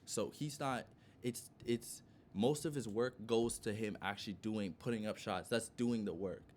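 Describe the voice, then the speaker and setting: Male podcast co-host, lowish pitch, slight ambient noise, pushing his argument insistently with halting, reworked phrasing. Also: a little mellow. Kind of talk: casual debate.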